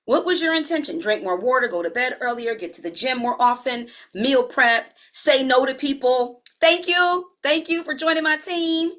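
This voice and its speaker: A woman in her forties giving a live-streamed health coaching talk, chatty and relaxed, speaking straight to her viewers and using casual slang. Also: high-pitched, normal speed, hardly any pauses. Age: 40s.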